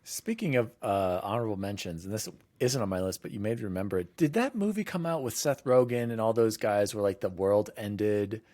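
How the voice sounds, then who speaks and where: A man hosting a casual gaming podcast, talking in an informal, animated, rambling way. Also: fairly low pitch, fairly quick, hardly any pauses. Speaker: podcast host.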